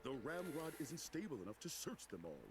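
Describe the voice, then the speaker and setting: An actor playing a smug, scheming scientist villain in an animated series. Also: male voice, fairly high pitch, a little fast, background noise. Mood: smooth reassurance. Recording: music behind, played cartoon audio.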